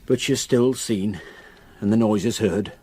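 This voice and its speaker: An actor playing a grave, reluctant local countryman in a radio drama, with a solemn, halting way of telling a dark story. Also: lowish voice, breathy edge. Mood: haunted, ominous.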